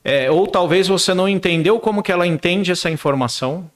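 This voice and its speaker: A middle-aged man sits in as a guest on a casual business podcast, his voice sitting a little high for a man. He pushes through his points quickly and often stalls on repeated words before going on. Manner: repeats words while searching, fast business talk.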